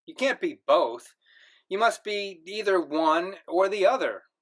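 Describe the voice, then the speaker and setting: A man reading aloud who voices a stern, bewildered middle-aged Indian father with brusque, puzzled questions, pitched a little higher than his narration. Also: lively intonation, measured pace, a bit bright. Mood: dismissive.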